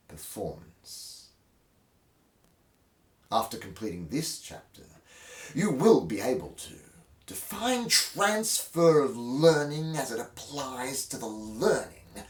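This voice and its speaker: A man reads aloud like a stage performer, slowly and with great melodrama. His pitch swings widely between swelling emphasis and hushed phrases. He sounds set back from the microphone in a roomy space. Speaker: host reading a textbook aloud. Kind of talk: theatrical dramatic reading. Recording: roomy echo, distant microphone.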